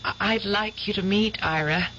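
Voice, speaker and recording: An American actress playing a devoted, earnest young niece in a radio drama. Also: female voice, medium pitch, normal speed, natural voice. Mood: fond, happy. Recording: dull, noisy old radio recording, hum.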